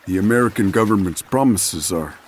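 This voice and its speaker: An actor playing a wary, seasoned adventurer's companion in a video game cutscene. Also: male voice, deep voice, a little fast, a little hiss or hum, lightly acted. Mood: serious, skeptical. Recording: clear music under the voice.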